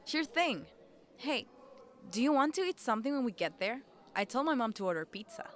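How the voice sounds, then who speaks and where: A woman acts out a troubled teenage schoolgirl in an audio drama, needy and emotional. The voice is bright and forward, pitched a little high. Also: big pitch swings, brisk, a little hiss or hum. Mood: friendly. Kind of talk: amateur audio drama acting.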